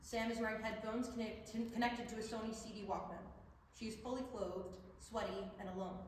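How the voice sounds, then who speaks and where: A woman reads scripted stage directions from a distance in a very echoey hall, with an average-pitched voice and faint background noise. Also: fairly even intonation, brisk. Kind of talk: scripted narration.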